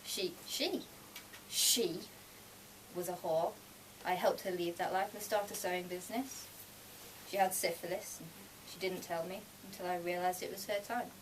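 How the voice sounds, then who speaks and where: A woman's voice: fairly low pitch, fairly even intonation, normal speed, pauses often, lightly acted. A woman voicing a casual, matter-of-fact man who is Death, in a live story reading. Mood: guarded. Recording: distant mic, noisy room ambience.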